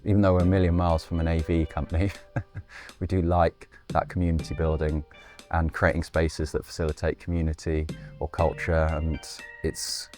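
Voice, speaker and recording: A man's voice: low voice, flat delivery, moderate pace, a little mellow, soft background music. A man, talking casually and loosely about designing and building speakers, playful and with occasional swearing. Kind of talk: casual interview talk.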